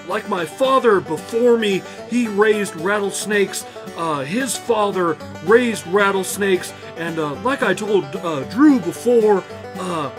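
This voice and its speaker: A man voicing a blunt, foul-mouthed snake rancher, rambling haltingly through an improvised comedy eulogy. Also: sits high. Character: gruff, foul-mouthed male rattlesnake rancher, rambling and bitter.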